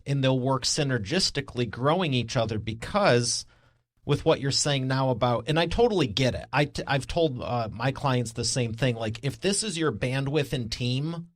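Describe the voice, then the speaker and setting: A man of average pitch hosts an interview in a conversational style. He works through his counterpoints haltingly, restarting as he goes. The recording is close and very dry. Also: expressive, no room sound. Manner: halting, thinks aloud while challenging the guest. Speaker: podcast host.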